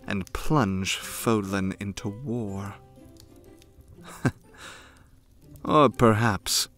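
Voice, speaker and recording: A man acts out a sinister young nobleman's retainer for an ASMR roleplay. His voice is low and his delivery unhurried, formal and scornful. He sounds coldly devoted and suspicious, with music playing faintly underneath. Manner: formal, measured, haughty, ominous. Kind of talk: ASMR roleplay, fan audio drama.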